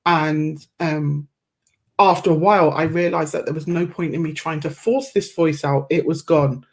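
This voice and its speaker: A woman who is a guest on a podcast, speaking in a reflective, measured way and choosing her words carefully. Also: low voice. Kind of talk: reflective conversational interview.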